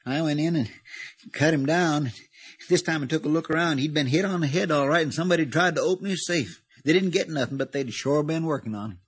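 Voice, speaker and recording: An actor playing an earnest, thrifty frontier deputy in a 1950s radio western, with a folksy drawl. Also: male voice, a little high, fairly quick, husky. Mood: urgent.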